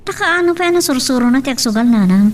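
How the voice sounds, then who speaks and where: A woman's voice: high-pitched, expressive, fast, distant mic. A woman playing a plaintive, reluctant, ailing daughter in an Ilocano audio drama. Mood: concerned.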